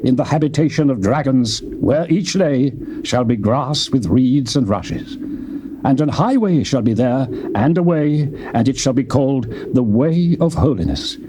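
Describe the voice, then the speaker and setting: A man narrates dramatized scripture in a solemn, declamatory manner. His delivery swings widely and lively in pitch. Hiss and chirping birds sit behind the voice. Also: measured pace. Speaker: scripture reader.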